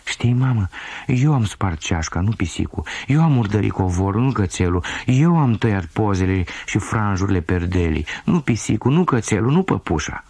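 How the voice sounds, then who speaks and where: An innocent, eager little girl from a children's cassette story, acted out by a man. The voice has a creaky, husky grain and moves up and down in lively swings. She explains herself with childlike earnestness. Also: fairly low pitch, no room sound, voice pushed up. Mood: earnest confessing.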